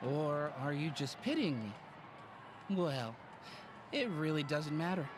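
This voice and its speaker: A man's voice: fairly high pitch, sing-song, slow pace. A man voicing a tipsy would-be poet who giggles between phrases, in a game with background noise.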